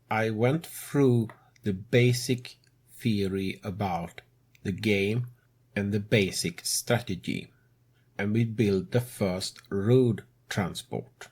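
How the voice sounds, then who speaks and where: A non-native-English man narrates a computer game tutorial he hosts. His voice sits a little low and breathy, and he takes his time over each phrase. The grammar slips now and then, but the delivery stays calm and measured. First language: not English. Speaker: tutorial host.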